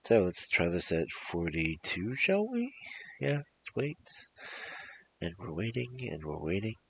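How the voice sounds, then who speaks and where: Deep gravelly man telling stories theatrically. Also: big pitch swings, a little slow, a bit bright. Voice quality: gravelly, husky. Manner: theatrical, rhythmic emphatic delivery. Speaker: storyteller, show host. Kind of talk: animated performed fairy-tale reading with casual asides.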